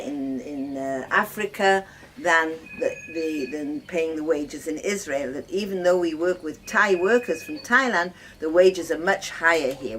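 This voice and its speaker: An older woman from Wales telling her life story casually, reminiscing in a halting, conversational way. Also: on the low side, fairly bright tone. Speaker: host of guest lodging, farmer's wife. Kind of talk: casual personal storytelling to guests. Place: informal talk to visitors, filmed by a guest. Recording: noisy ambient home video.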